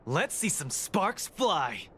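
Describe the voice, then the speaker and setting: Cocky young underground boxer for a game: lively, swooping, eager, casual, upbeat. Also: male voice, high voice, slow pace, very noisy, full character voice. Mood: fired up. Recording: loud game music behind the voice.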